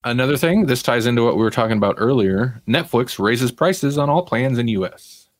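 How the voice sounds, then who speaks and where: A man's voice: medium pitch, expressive, brisk, no room sound, studio quality. A man hosting a casual pop culture talk show in a wry, conversational way. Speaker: podcast host.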